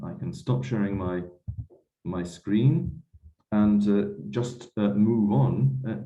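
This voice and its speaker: Man, webinar moderator, very low voice, dark mellow tone, formal and halting, echoey room, dull recording. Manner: measured, formal, hesitant.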